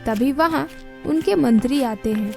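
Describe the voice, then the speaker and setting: A woman's voice: high-pitched, a little slow, creaky, warm tone. A woman reading scripted storybook narration as the narrator. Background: music.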